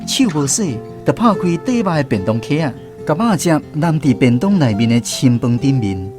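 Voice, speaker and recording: A man with a Taiwanese accent narrating a scripted radio drama as a grown son, reflective and expressive. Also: medium pitch, moderate pace. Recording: music behind the voice.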